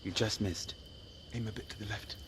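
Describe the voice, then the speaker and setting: An actor playing a gruff, wry travelling companion out hunting in an audio game, low-key and dry. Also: male voice, fairly even intonation, normal speed, lightly acted. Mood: hushed, watchful. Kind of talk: naturalistic audio game acting. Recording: noisy outdoor ambience with crickets.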